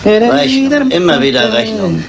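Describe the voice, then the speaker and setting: An actor playing a nervous, grumbling village innkeeper with a gravelly voice in a German radio crime drama. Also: male voice, high-pitched, wildly swooping pitch, unhurried, crowd noise, heavily acted. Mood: anxious, uneasy.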